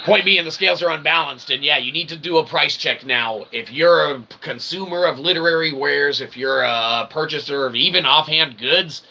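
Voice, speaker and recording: Very bright, gravelly man rambling sarcastically. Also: a little high, normal speed, few pauses, rough recording. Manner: sarcastic, slangy, joking asides. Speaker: vlog host and self-published author. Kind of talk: casual, rambling monologue. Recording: faint background noise.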